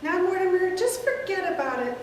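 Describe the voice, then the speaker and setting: A woman's voice: very high voice, lively intonation, brisk, darkish tone, very echoey, distant mic, a little hiss or hum, voice pushed up. A woman doing a sweet, placid elderly aunt.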